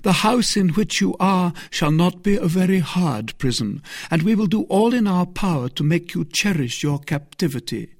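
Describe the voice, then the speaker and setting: A man voicing a kindly, anxious convent abbess who questions earnestly and hesitantly, in a raised husky voice. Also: high-pitched, big pitch swings, a little slow, a little mellow, no room sound, studio quality, pitched above the performer's own voice, heavily acted. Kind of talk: audiobook character reading.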